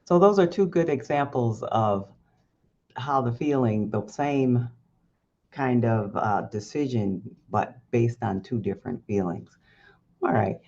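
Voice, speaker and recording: Man, podcast host, gravelly, measured, dull lo-fi audio. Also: mid-range voice, a little mellow. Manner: measured, appreciative interviewer. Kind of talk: podcast interview.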